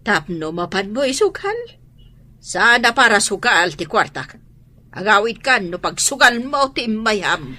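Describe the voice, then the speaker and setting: Protective Ilocano mother, radio drama. Forceful, highly animated delivery, worried and exasperated. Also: measured pace, dry recording. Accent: Filipino, Ilocano.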